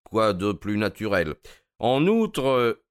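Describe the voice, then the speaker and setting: Un homme qui joue, pour un livre audio, un détective anglais d'âge mûr, suave, courtois et moqueur. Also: male voice, lowish voice, big pitch swings, clear, resonant tone, no echo, studio quality, pitched above the performer's own voice. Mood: politely triumphant. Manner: amused, courteous, drawn-out mocking delivery. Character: suave, wry older English detective, gloating politely.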